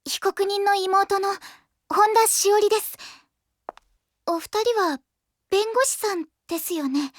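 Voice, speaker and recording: A woman's voice: very high voice, slow, long pauses, no echo. A woman playing an eager, polite, girlish young woman in a Japanese audio drama. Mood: earnest, pleading.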